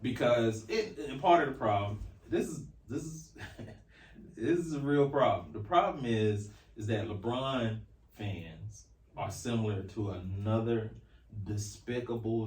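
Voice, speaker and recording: A man's voice: on the low side, unhurried, a little mellow. A man in his forties from North Carolina with an American accent, chatting casually and emphatically as a podcast co-host about sports. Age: 40s. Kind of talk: casual sports talk, opinionated. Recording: roomy echo, distant from mic.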